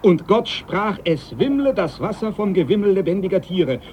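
An actor playing a devout, domineering sea captain in a German radio drama, speaking in an emphatic, preachy manner. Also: male voice, high-pitched, big pitch swings, moderate pace, darkish tone, slight background noise. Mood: dogmatic, indignant. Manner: emphatic, preachy, scripture-quoting.

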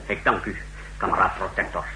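A man's voice: low-pitched, steady tone, brisk, bright, forceful tone, a little hiss or hum, rough recording. An actor playing a secret police chief in a 1960s radio drama, formal, hesitant and deferential to his leader. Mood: nervous, submissive.

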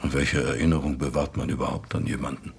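An actor in a radio drama playing an evasive, philosophical interviewee who speaks cryptically and measuredly in a husky, gravelly voice. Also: male voice, rumbling low, flat delivery, a little hiss or hum. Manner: cryptic, measured, answers with questions. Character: evasive, philosophical man interviewed about someone's death.

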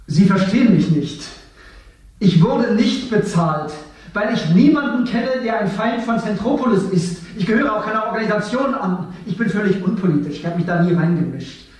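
A man's voice: high-pitched, lively intonation, moderate pace, darkish tone, recorded from a distance. An actor playing a frightened stage actor who pleads his innocence under interrogation, in a 1970s radio drama. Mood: pleading. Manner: pleading, insistent. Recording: echoey room, voice off-mic.

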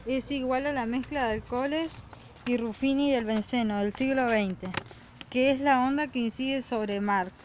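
A woman's voice: high-pitched, normal speed, lightly acted. A woman playing a bossy, playful schoolgirl with an Argentine accent in an amateur radio play. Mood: chatty. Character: bossy, playful twenty-one-year-old schoolgirl friend. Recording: noisy amateur recording with clicks.

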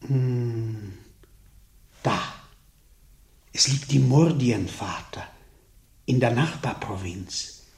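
An actor playing a diligent monk librarian in a German radio play, speaking hesitantly and respectfully. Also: male voice, medium pitch, extremely slow, long pauses, a little mellow. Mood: searching, attentive. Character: diligent, deferential monk librarian, concerned and careful. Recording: roomy, echoing studio scene.

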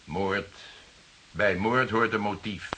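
Bright-voiced, stern police commissioner. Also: male voice, on the low side, fairly even intonation, slow, slight background noise. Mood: stern, formal. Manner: authoritative, clipped, formal. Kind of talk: Dutch radio drama acting.

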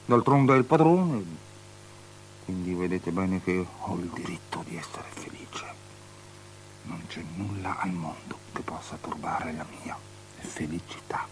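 An actor playing a capricious, lustful, superstitious tetrarch in avant-garde radio theatre, declaiming and lurching between outbursts and breathy murmurs. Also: male voice, low-pitched, a little slow. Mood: hushed bliss. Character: capricious, lustful, superstitious male tetrarch, unstable and self-pitying. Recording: noisy, humming old radio drama recording.

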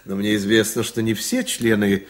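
A man's voice: on the low side, level tone, normal speed, natural voice. A man acting an insistent, scheming consul in a radio drama, with a formal, insinuating way of speaking. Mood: probing. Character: insistent, scheming male consul pressing his claim.

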